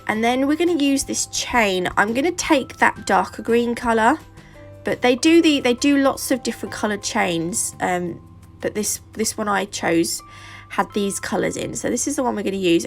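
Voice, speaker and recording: A British woman narrates a home nail tutorial, her voice gravelly and thickened by a sore throat and a stuffy nose. She rambles cheerfully, trailing off into fillers and asides. Faint music plays softly behind her. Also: fairly high pitch, lively intonation, normal speed. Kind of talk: casual how-to narration.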